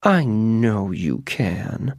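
A man voicing a sly, calm old witch who speaks slowly and softly. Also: fairly low pitch, no room sound, clean studio sound, heavily acted. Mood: silky. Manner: slow, hushed and silky.

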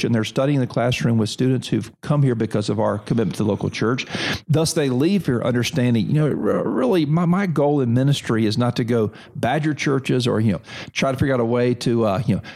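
Seminary leader, forty, gravelly voice, earnest and repetitive, mid-pitched. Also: male voice, fast, a little mellow. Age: 40s. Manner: earnest, repetitive, preacherly phrasing. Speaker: seminary president. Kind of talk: interview answers.

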